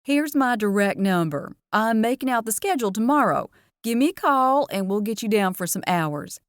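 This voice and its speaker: A woman voicing a folksy, motherly diner owner, higher and louder than her narration. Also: animated, normal speed, dry recording, clean studio sound, lightly acted. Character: warm-hearted Southern diner owner, older woman.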